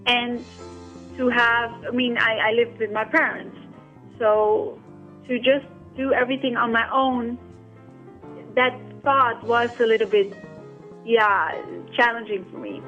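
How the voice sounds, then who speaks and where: A woman from the Netherlands, a guest filmmaker on a radio interview, who talks hesitantly with many pauses. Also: fairly high pitch. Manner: hesitant, many ums and yeahs. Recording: dull radio audio with faint music.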